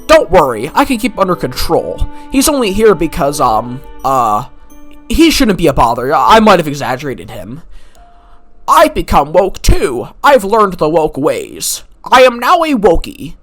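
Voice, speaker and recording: A man's voice: on the high side, huge pitch swings, unhurried, fairly bright tone, faint music behind, exaggerated voice. A man voicing a meek, evasive cartoon school principal who talks in a hesitant, placating way. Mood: nervous, placating.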